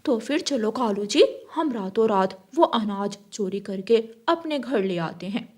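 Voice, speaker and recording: A woman's voice: mid-range voice, expressive, unhurried, darkish tone. A woman voicing a scheming female crow in a Hindi cartoon story, coaxing and cunning.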